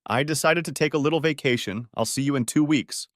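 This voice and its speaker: A man's voice: a little high, brisk, no echo, clean studio sound, voice pushed up, lightly acted. A man voicing a polite, understated, neglected husband in a narrated story. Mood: matter-of-fact. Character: quiet, neglected married man on holiday.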